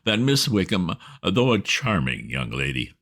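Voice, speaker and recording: A man voicing a calm, deferential valet who speaks in a measured, grave manner in a storybook reading. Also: deep voice, exaggerated voice. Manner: measured, grave, soft-spoken.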